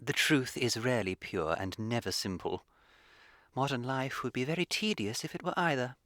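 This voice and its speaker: An actor playing a witty, teasing young English gentleman of leisure in a comic radio play, speaking with arch, languid precision. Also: mid-range voice, normal speed, no room sound, clean studio sound, lightly acted. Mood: smug, witty.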